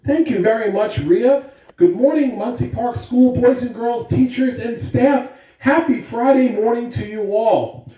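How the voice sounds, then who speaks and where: An American man with an upbeat, deliberate, emphatic delivery, giving school announcements and reading aloud to children as a principal. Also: very high-pitched, warm tone. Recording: roomy, distant mic with background noise.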